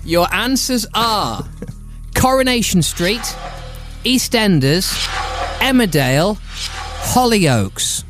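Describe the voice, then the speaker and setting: A man's voice: high voice, expressive. Man hosting a radio quiz over music. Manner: playful, laughs often, reads options slowly. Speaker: radio host running a quiz.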